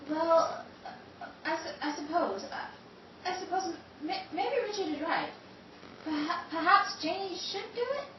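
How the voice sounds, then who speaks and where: A woman acts out a timid, nervous relative in a radio drama, stammering in a very high, lively voice. The sound is very echoey. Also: measured pace, slight background noise. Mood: nervous, hesitant. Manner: stammering, hesitant. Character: timid, nervous female relative of a plotting family. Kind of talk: amateur radio play acting. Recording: very echoey, dull, distant microphone.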